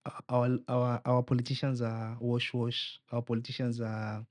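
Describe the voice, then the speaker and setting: A man's voice: medium pitch, monotone, normal speed, darkish tone, dry recording. A young man with a Kenyan accent, speaking as a political hopeful in an interview, earnest and rallying, slipping in Swahili phrases. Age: young adult. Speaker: political aspirant guest.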